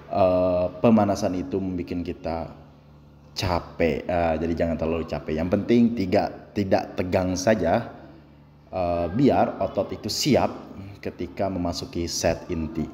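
Pria bersuara berat di ruang gym bergema. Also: male voice, low voice, warm tone. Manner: chatty, explains training step by step. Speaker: gym vlogger. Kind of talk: casual workout commentary. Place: indoor gym with fluorescent lights. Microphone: camera on the floor across the room. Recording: reverberant gym hall with faint hum.

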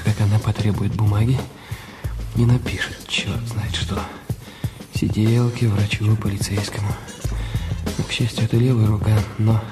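An actor playing an anxious, guilt-ridden husband in a radio crime drama, speaking in agitated, halting monologue. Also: male voice, on the low side, lightly acted. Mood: dread, brooding. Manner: agitated, halting inner monologue with repeated words. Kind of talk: radio play acting.

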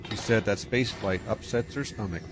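An actor playing a practical, profit-minded spaceship captain, talking in a casual, matter-of-fact way. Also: male voice, lowish voice, unhurried, background noise, close to an everyday voice. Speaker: spaceship pilot and narrator of his own story. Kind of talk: radio drama acting. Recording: dialogue over music.

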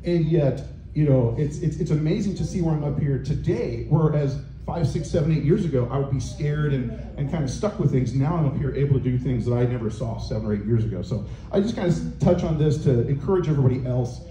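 A middle-aged man giving a conference talk on a handheld microphone in a hotel meeting room, loud and booming, with many fillers and restarts. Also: quick pace. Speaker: conference presenter. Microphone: handheld microphone through room speakers. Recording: echoey conference room, faint noise.

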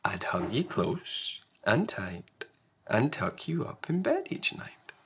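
A man's voice: mid-range voice, wildly swooping pitch, slow, fairly bright tone, slight background noise, full character voice. A man voicing a big, patient, loving parent fox in a storybook, tender and reassuring. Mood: soothing, loving.

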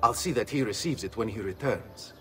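An actor playing a polite, welcoming Italian household attendant in a video game, with an Italian accent. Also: male voice, medium pitch, a little fast, faint music behind, natural voice. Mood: courteous. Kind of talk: video game character acting.

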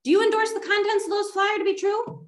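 Upset high-voiced woman in echoey hall. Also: fairly even intonation, quick pace. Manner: upset, pointed questioning. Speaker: town employee speaking at a board meeting. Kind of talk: heated public comment. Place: town hall meeting room. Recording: echoey meeting room.

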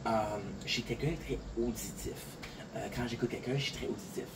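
Un homme de Montréal à l'accent québécois, un peu fatigué, qui parle de façon décousue en se répétant beaucoup. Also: male voice, mid-range voice, a little fast, noisy background. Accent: Québécois French. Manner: rambling, repeats words, frequent fillers. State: a little tired. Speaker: content creator. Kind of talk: casual talk to camera. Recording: distant voice with clear outdoor ambience.

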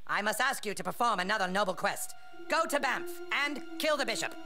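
An actor playing a pompous, petty Canadian prince in a comedy game, with a haughty, mock-grand delivery. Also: male voice, high voice, animated, a little fast, music in the background. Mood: scheming. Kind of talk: cartoon comedy game acting.

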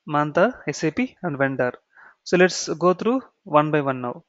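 A man with an Indian accent giving an explanatory software tutorial, repeating key phrases and checking in with "okay" and "right". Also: on the high side, big pitch swings, normal speed, no echo. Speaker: software trainer. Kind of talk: explanatory screen-share tutorial. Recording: dull, muffled lo-fi sound.

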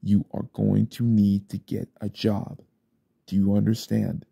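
A man performing an ASMR roleplay as a stressed single father, grumbling under his breath and then yelling in anger. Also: fairly low pitch, level tone, measured pace, gravelly, breathy edge, no room sound, clean studio sound. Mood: stern. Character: stressed single father, short-tempered, drinking.

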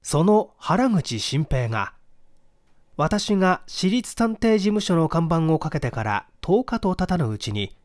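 A man reading a classic mystery story aloud as a dramatic narrator, in measured, formal storytelling. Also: fairly high pitch, no echo, studio quality. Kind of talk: dramatic scripted audiobook narration in first person.

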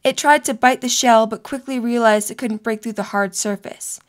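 A woman's voice: a little high, fairly even intonation, moderate pace. A woman narrating a scripted story for an audio drama.

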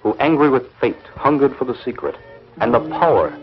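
An actor playing a grave, portentous narrator of a 1950s television space serial, speaking in measured dramatic style. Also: male voice, mid-range voice, lively intonation, lightly acted. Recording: muffled old 1950s film soundtrack with music.

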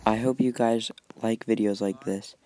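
A young man narrating his own biking vlog in a relaxed, rambling, apologetic way. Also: fairly low pitch, moderate pace, a crowd in the background. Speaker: vlogger. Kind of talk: casual voice-over narration.

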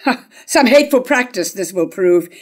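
A woman's voice: medium pitch, expressive, normal speed, lightly acted. A woman playing a proud, wronged, defiant queen in a staged reading of verse tragedy, with stately delivery. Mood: indignant, defiant.

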